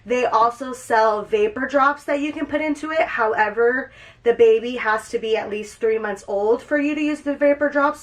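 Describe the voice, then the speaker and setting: Young woman, higher-pitched voice, stuffy nasal cold, casual chatty vlog at home. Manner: chatty, upbeat, talks straight to camera. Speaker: vlogger. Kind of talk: casual product walkthrough.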